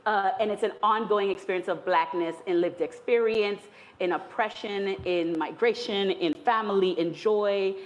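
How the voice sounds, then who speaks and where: A woman's voice: mid-range voice, normal speed, highs cut off. Thoughtful woman academic panelist. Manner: thoughtful, builds points in lists, some fillers.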